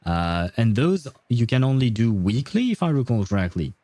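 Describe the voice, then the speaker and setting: A man's voice: mid-range voice, sing-song, normal speed, mellow, no echo. A man hosting a casual gaming livestream, chatting with viewers in a rambling, hesitant way. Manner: hesitant, rambling, chuckling often. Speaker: livestream host.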